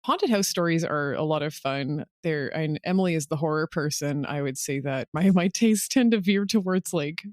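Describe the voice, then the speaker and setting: A woman's voice: sits low, moderate pace, few pauses, dry recording, clean studio sound. A woman speaking as a podcast creator in an informal, self-deprecating conversational style. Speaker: podcast creator, interview guest. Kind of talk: casual interview chat.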